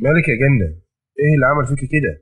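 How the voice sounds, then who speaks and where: A man's voice: mid-range voice, expressive, rapid-fire, a bit bright, distant mic, close to an everyday voice. A man with an Egyptian accent, unwell, voicing a devoted young husband who pleads urgently with his wife in an audio drama. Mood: worried.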